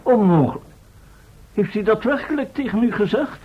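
A man's voice: sits high, expressive, normal speed, husky, highs cut off. A man acting an exasperated, schoolmasterly professor who leads an expedition in an old radio drama. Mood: indignant, alarmed. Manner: indignant, schoolmasterly. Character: exasperated male professor leading a time-travel expedition.

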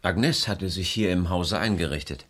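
A worried, doubting confidant in a radio crime drama. His voice is fairly low, sharp-edged and rough. He speaks earnestly and haltingly, always urging caution. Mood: subdued. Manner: hesitant, earnest, faltering. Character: anxious, cautious husband, the sleuth's doubting male confidant. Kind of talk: German radio crime play acting.

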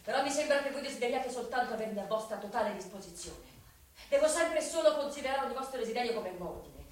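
An actress playing a proud, earnest young fiancée in a radio drama, with an emotional but dignified manner. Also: female voice, fairly high pitch, animated, quick pace, natural voice. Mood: hurt, earnest. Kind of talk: Italian radio drama acting. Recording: very echoey, distant, music underneath.

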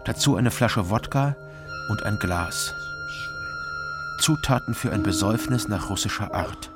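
An actor playing an imprisoned private detective in a German radio crime drama, terse and deliberate. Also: male voice, fairly low pitch, animated, over loud music. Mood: brooding narration. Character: indignant imprisoned East Berlin private detective, weary first-person narrator.

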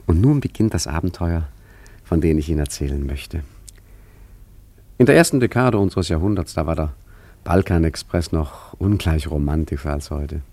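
An actor playing a wry, roguish art forger and painter in a German radio play, with a leisurely, ironic, musing way of talking. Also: male voice, extremely low pitch, expressive, normal speed, darkish tone, no echo, exaggerated voice. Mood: wry storytelling.

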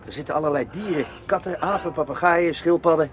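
An actor playing a brisk, teasing, unafraid man in a radio drama. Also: fairly high pitch, close to an everyday voice. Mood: calm, teasing. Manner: brisk, bantering. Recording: muffled radio play recording, faint noise.

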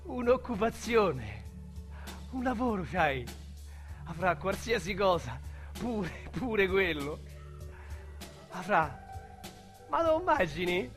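A brash small-time Roman ex-convict in a radio play, crude, boastful and mocking in rough street slang. The voice sits high and bright, with a keen, cutting edge. Faint music plays behind him. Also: male voice, sing-song, takes it slowly, pauses often, heavily acted. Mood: rueful bragging. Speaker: lead actor. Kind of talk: radio drama acting.

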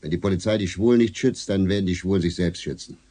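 Very low-voiced, self-assured activist. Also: male voice, fast talker, warm tone. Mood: indignant, insistent. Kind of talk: naturalistic radio drama dialogue.

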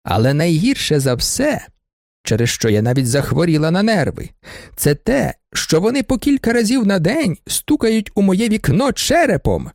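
A man reading an audiobook, voicing a shrill, hysterical older baroness who shrieks her complaints. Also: sing-song, normal speed, a little mellow, dry recording, clean studio sound. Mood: shrill complaining. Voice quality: shrieking, piercing. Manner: overwrought, complaining. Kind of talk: audiobook character voice.

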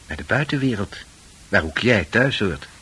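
A deep-voiced, assured doctor. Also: male voice, expressive, sharp tone, breathy edge, heavily acted. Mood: reassuring, firm. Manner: persuasive, authoritative, measured. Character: calm, assured, reassuring male psychiatrist. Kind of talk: radio drama acting. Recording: hissy old radio drama recording.

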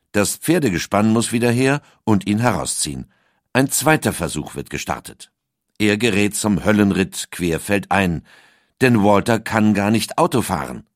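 A man reading a documentary script in measured storytelling narration. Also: lowish voice, no echo, clean studio sound.

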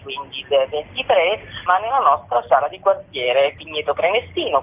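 A woman, a festival curator, explaining at length in a radio interview, articulate and pausing between phrases. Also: lowish voice, slightly rough. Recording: tinny narrow telephone line.

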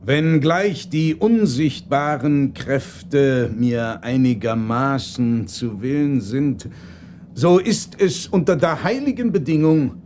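An actor playing a mysterious, solemn magician in a radio play, speaking in a ceremonious, formal way. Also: male voice, mid-range voice, darkish tone, a little hiss or hum. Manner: ceremonious, measured, formal address. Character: mysterious solemn male magician, grand and secretive. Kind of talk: German radio play acting.

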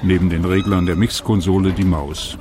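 A man's voice: low-pitched, flat delivery, moderate pace, a little mellow. A man narrating a personal radio documentary in a reflective, wry, conversational way. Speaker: radio feature author and narrator.

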